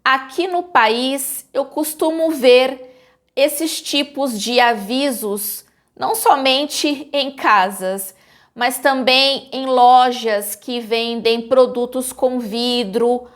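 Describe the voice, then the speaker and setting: A Brazilian woman with a Brazilian Portuguese accent, hosting her channel and teaching the meanings of a common verb in slow, clearly articulated scripted narration. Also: high-pitched.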